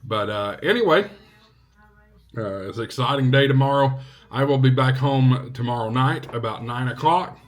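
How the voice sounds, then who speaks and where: A man talking casually to viewers as a vlog host, in an unhurried, halting way with short phrases. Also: mid-range voice. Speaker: vlog host, ham radio hobbyist.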